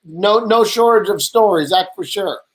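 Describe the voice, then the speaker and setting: High-voiced man hosting a chat. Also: normal speed, low-quality recording. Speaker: chat show host. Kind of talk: casual chat. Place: video call.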